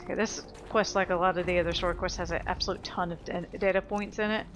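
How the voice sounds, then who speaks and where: Woman doing a lets play, lowish voice, chatty and informal, thinking out loud, bit of music behind. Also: fairly even intonation, quick pace. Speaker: let's player. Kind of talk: casual gameplay commentary.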